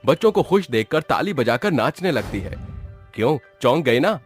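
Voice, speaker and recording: Animated man narrating a horror story. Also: mid-range voice, normal speed, faint music behind.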